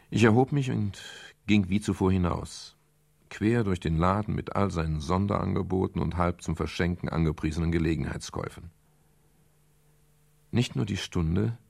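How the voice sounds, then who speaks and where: An actor playing a sober, composed private detective in a radio crime drama, speaking in a measured, matter-of-fact way. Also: male voice, deep voice, creaky, husky, no echo, full character voice. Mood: subdued. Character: male private detective narrating his case, sober and composed. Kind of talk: 1970s German radio crime drama, narration and dialogue.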